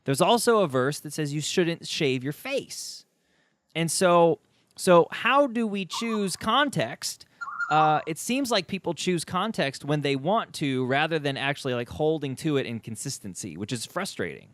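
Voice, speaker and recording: A man from the United States hosts a conversational podcast. His voice sits a little high and is recorded dry and close, with little room sound. He talks in an opinionated, emphatic manner. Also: lively intonation, a little slow, a bit bright, no room sound. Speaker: podcast host. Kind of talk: conversational interview.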